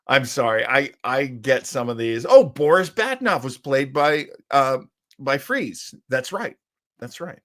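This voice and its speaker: A man's voice: mid-range voice, lively intonation, measured pace, fairly bright tone, dry recording, clean studio sound. A man hosting an old-time radio listening show, chatting casually in a rambling way full of asides. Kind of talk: casual live-stream chat.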